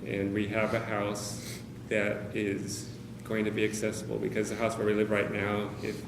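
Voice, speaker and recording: A man speaking candidly to a meeting of caregivers, hesitant, with many pauses and fillers. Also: lowish voice, completely flat delivery, vocal fry. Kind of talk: personal talk to a small group. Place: meeting room with a group of about 45 people. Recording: roomy, noisy meeting-room recording.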